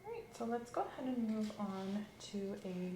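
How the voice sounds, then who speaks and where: A woman speaking softly and slowly as a clinician in an ASMR roleplay exam, often dropping to a whisper. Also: mid-range voice, big pitch swings, reverberant, slight background noise. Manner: slow, gentle, instructive, often whispering. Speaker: ASMR creator playing an examining clinician. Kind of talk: soft-spoken roleplay physical exam.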